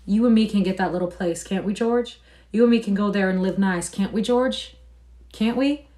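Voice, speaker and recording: A woman's voice: medium pitch, normal speed, dark tone, close to an everyday voice. A woman reading aloud and voicing an old male ranch hand who talks in rural, folksy speech. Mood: pleading.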